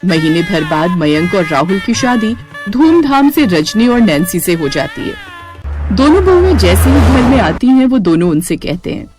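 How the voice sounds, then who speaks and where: A woman's voice: medium pitch, big pitch swings, measured pace. A woman narrating a scripted moral story. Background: music.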